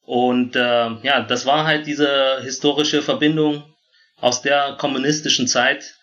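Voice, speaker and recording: A man in his thirties from Vietnam who grew up in Germany tells personal stories as an interview guest, often halting to search for words. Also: medium pitch, fairly even intonation, a bit bright, muffled recording. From born in Vietnam, grew up in Germany. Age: 30s. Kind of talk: personal storytelling in a conversational interview.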